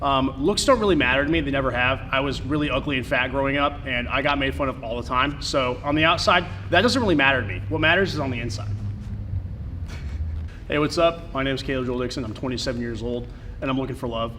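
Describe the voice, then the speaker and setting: A man's voice: medium pitch, fast talker, pushed and bright, low-quality recording. A man in his twenties introduces himself to camera for a dating-show audition, upbeat and rehearsed. His voice sits mid-range. A faint hiss runs under the recording. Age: 20s. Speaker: mock dating show applicant. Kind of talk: audition tape.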